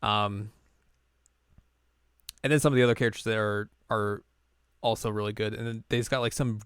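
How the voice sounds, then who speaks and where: A man's voice: fairly low pitch, steady tone, moderate pace, fairly bright tone, clear, resonant tone, no echo, clean studio sound. A man co-hosting a podcast in casual chat, rambling with lots of fillers and frequent laughs. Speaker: podcast co-host.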